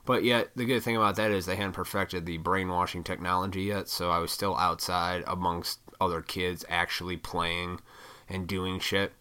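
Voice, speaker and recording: A man in his thirties ranting to camera in a rambling, profane way, his throat froggy and needing clearing. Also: low voice, little pitch movement, normal speed, sharp tone. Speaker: vlogger. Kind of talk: rambling casual rant to camera.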